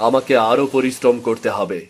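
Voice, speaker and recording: A man with a Bengali accent playing a weary, caring, poor village father in a cartoon, plaintive and gentle. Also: medium pitch, fairly quick, faint music behind, lightly acted. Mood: resigned, resolute. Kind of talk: cartoon story dialogue.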